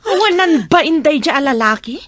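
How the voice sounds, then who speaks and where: Outspoken young female friend, high animated voice, exclamatory, Ilocano accent, radio drama. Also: a little fast, no echo. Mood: indignant. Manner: exclamatory, expressive. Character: Mitch, outspoken young woman, indignant loyal best friend. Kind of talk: Filipino radio drama acting.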